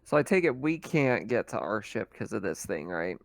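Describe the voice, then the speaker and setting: A man's voice: on the low side, big pitch swings, moderate pace, creaky, no echo. A man chatting casually as a player in a tabletop game.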